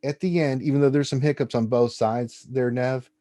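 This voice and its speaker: A man's voice: medium pitch, normal speed. A man co-hosting a casual gaming stats show, joking and teasing as he reads out results. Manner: jokey, teasing, animated delivery. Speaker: co-host.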